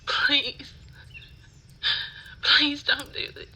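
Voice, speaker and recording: A woman playing a frightened but defiant captive rival in an ASMR roleplay, halting and stammering as she pleads. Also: sits high, level tone, bright, forceful tone, slight background noise, pitched above the performer's own voice, full character voice. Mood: begging.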